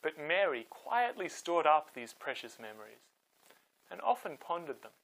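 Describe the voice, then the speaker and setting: A man hosting and telling scripted Bible stories for children in an expressive, dramatic way. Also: on the high side, normal speed, vocal fry, rough recording. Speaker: storyteller and host.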